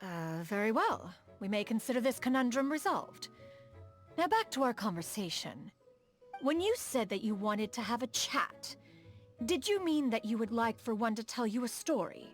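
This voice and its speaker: A proud adeptus noblewoman and inventor for a video game: high, expressive delivery, stately archaic phrasing. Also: normal speed, soft background music. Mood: pleased, indulgent. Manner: formal, archaic, lofty diction, speaks of herself as "one". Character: proud, refined immortal adeptus woman, inventor of mechanical contraptions. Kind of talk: scripted video game character dialogue.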